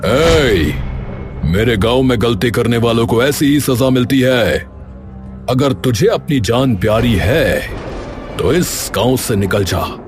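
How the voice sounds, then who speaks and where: A man voicing a greedy, cruel and domineering village headman in a cartoon story, menacing and taunting as he talks. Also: mid-range voice.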